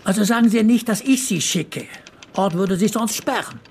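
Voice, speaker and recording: A fussy bourgeois father in a radio crime play. His voice is fairly high and creaky, his manner formal, controlling and disapproving, over faint background noise. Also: moderate pace. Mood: formal, insistent. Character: well-off, controlling bourgeois father hiring a detective. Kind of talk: radio crime drama acting.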